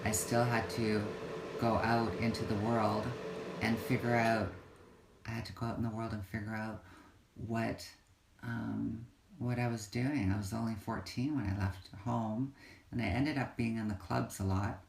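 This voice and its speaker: Slow, halting woman in her fifties. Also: very deep voice, steady tone, vocal fry. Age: 50s. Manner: halting, many fillers and restarts. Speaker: vlogger. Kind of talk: casual livestream talk. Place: home yoga room. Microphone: phone or webcam livestream. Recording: echoey room, loud air conditioning hum.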